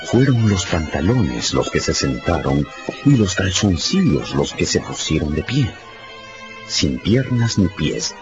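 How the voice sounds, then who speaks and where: A man's voice: a bass voice, highs cut off. A man giving dramatic scripted narration of a radio story.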